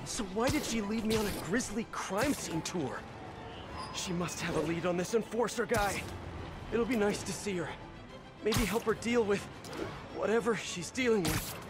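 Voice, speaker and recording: A man's voice: high voice, moderate pace, close to an everyday voice. A young man playing an earnest young superhero. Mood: concerned. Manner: talks to himself, earnest and concerned. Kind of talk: video game cutscene acting. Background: game score music.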